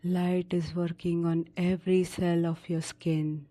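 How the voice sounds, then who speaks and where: Woman doing a sleep meditation, really slow and mellow, soft even tone, lots of pauses. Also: lowish voice. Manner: soothing, unhurried instructions with long pauses. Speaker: meditation guide. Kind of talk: guided sleep meditation narration.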